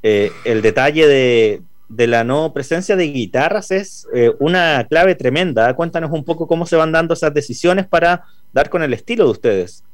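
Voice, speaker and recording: A male radio host, interviewing a guest and often pausing on "eh". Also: fairly high pitch, expressive, no echo, clean studio sound. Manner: hesitant with frequent "eh" fillers.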